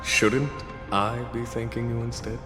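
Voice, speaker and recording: A male actor playing a composed, shrewd general in a video game, speaking in a measured, formal and eloquent way. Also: medium pitch, level tone, natural voice. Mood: composed, courteous. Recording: long reverb under loud background music.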